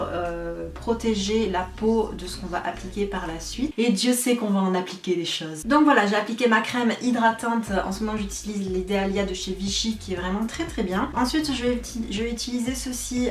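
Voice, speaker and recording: A young woman giving a casual makeup tutorial, chatty and self-mocking, with frequent fillers. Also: mid-range voice. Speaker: beauty vlogger. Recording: echoey room, voice a bit far from mic, faint music.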